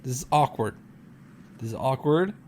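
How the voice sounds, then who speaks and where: A man's voice: mid-range voice, animated, moderate pace, a little mellow, slight background noise. A man reading a visual novel aloud and reacting casually, often restarting and repeating himself as he goes.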